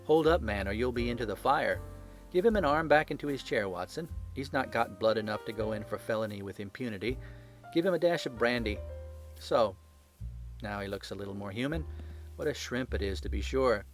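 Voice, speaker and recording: A man playing a confident gentleman detective in an audiobook, speaking with brisk authority and dry wit. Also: medium pitch, animated, faint music behind, close to an everyday voice. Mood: commanding. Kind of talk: audiobook character acting.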